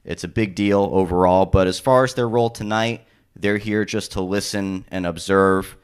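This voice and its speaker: Male city planner, very low pitch, formal meeting welcome with filler-heavy delivery. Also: normal speed, clear, resonant tone. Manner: steady, formal, with frequent "uh" and "um". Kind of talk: public meeting welcome remarks. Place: public meeting room.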